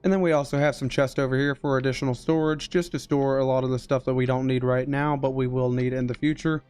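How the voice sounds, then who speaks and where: A young man narrating a gameplay walkthrough in a steady, explanatory way, his voice a little strained from being sick, speaking into a headset mic. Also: mid-range voice, fast talker, smooth. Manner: explanatory, steady tutorial delivery. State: recovering from illness, voice strained. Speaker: game streamer.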